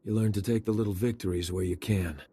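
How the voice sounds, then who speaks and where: An actor playing a wry, weary undercover intelligence agent in a video game, with a rough, husky voice. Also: male voice, lowish voice, fairly even intonation, fast talker, dark tone. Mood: wry relief. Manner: wry, colloquial.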